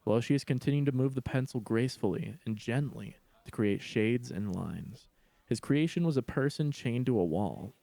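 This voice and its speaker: A man's voice: medium pitch, gravelly, dark tone, dry recording. A man reading a scripted story aloud as its narrator.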